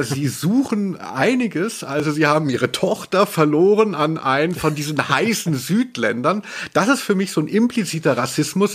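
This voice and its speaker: A man's voice: fairly high pitch, husky. A man co-hosting a podcast in casual conversation, talking in an ironic, rambling way. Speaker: podcast co-host. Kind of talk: casual chat, review of audio dramas.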